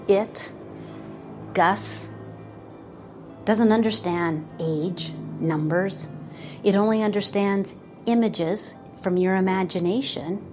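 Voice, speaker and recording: Slow-talking woman giving a motivational talk. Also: medium pitch. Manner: slow, pausing, earnest, quotes doubters in put-on voices. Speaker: manifestation coach.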